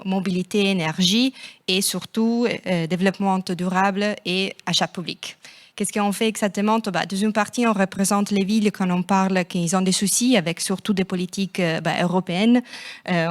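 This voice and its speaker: A woman whose first language is not French, speaking on a panel, hesitant and searching for words. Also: mid-range voice. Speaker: panelist representing a city network. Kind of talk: panel discussion.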